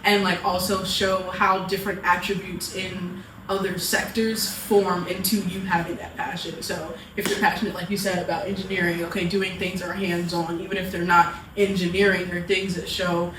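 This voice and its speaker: A woman's voice: lowish voice, little pitch movement, normal speed. A young woman from the United States, a college student speaking as a panel guest in casual chat, leaning on "like" as she talks. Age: young adult. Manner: chatty, fills with "like" often. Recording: roomy, from a distance, faint noise.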